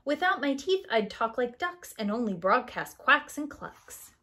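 A woman's voice: on the high side, big pitch swings, measured pace, pushed and bright, pitched above the performer's own voice, exaggerated voice. A woman voicing a chatty male storybook news broadcaster with an emphatic, presentational manner.

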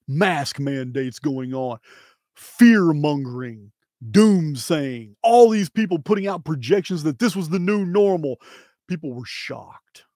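A middle-aged man with an American accent presenting solo, emphatically and deliberately, in short phrases with pauses, close to the microphone. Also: a little high, warm tone, no room sound. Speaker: video host and presenter. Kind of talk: solo presentation to camera, dramatic commentary. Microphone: close microphone on boom arm.

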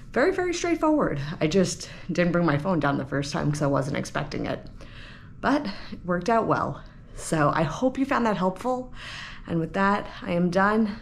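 A woman in her thirties chatting casually and explaining things as a vlogger, on a handheld camera at arm's length. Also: on the low side. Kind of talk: casual vlog chat and walk-through. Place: resort hotel room, laundry room and outdoor walkways.

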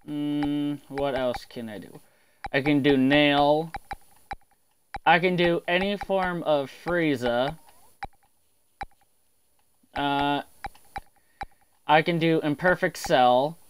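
A man's voice: on the high side, steady tone, takes it slowly, pauses often. A man talking casually on a livestream as an amateur voice actor, with faint noise and clicks behind him.

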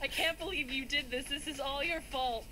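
A woman's voice: steady tone, normal speed, over a noisy crowd, natural voice. A woman voicing a whiny, brash five-year-old fox pilot in a comedy fandub of a game. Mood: whiny, accusing. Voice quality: squeaky, childlike. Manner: blunt, sweary.

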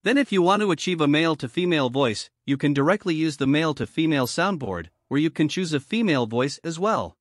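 A man reading scripted narration for a promotional software tutorial. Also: fairly high pitch, animated, fast talker, few pauses, no room sound, clean studio sound.

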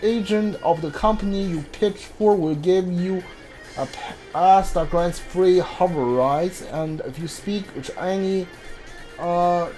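A man casually commentating on his video game playthrough, haltingly thinking aloud and mumbling as he reads. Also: sits high, warm tone.

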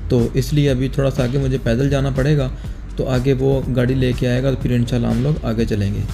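A young man from Pakistan narrating a casual travel vlog at night outside an airport, talking calmly to viewers through a phone held at arm's length. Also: mid-range voice, a little fast, music in the background. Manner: calm, explanatory, talks to viewers directly. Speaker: travel vlogger. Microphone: phone camera held at arm's length.

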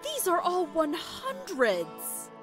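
A woman plays a nervous, careful accomplice in an audio drama. Her voice is high and very animated, with music clearly playing behind it. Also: slow, exaggerated voice. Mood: amazed. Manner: worried, cautioning. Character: anxious, cautious female accomplice.

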